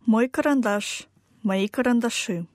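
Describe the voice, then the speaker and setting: A woman reading a textbook language lesson aloud, slowly and with careful, clear enunciation. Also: on the high side, darkish tone, no room sound, clean studio sound. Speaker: language course reader.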